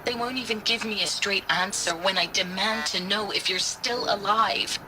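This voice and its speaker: A woman's voice: medium pitch, steady tone, moderate pace, noisy background, natural voice. An actress playing an anxious, loving woman recording an intimate, plaintive message to her soldier partner. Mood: anguished. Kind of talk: dramatic voice-message monologue.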